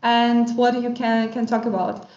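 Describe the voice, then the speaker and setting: A woman hosting a livestream panel on a video call, talking in a slightly halting way with non-native phrasing. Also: a little high, fairly even intonation, darkish tone. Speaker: host. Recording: roomy, dull lo-fi stream audio.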